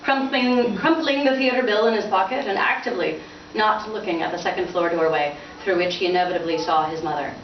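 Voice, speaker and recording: A woman author giving an expressive, lightly dramatized live reading of fiction. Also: medium pitch, fairly quick, hardly any pauses, recorded from a distance. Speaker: author reading from their novel. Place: indoors in a bookstore. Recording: muffled, echoey, noisy room from afar.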